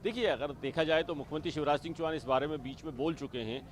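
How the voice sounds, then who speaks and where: Man, high voice, quick pace, explanatory live news analysis, emphatic repetition. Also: a little hiss or hum. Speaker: news reporter.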